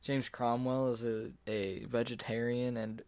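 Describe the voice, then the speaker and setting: A man's voice: mid-range voice, little pitch movement, unhurried, a little mellow, smooth. A man co-hosting a podcast in casual chat, laid-back and brief in what he says. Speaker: podcast co-host.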